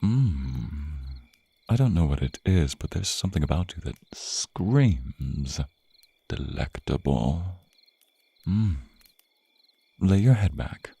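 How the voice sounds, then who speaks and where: A man plays a suave male vampire in an intimate ASMR roleplay. His low voice is dark and slightly husky, drawling slowly as he teases and purrs possessively at his captive. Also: very animated, no echo, exaggerated voice. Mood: predatory, teasing. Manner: drawling, purring, theatrical, murmured asides.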